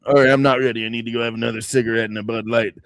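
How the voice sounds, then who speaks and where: Man putting on a raspy shouting fight announcer. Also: medium pitch, fairly even intonation, racing, dry recording, studio quality. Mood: booming. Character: gravel-voiced older male fight announcer calling out fighters.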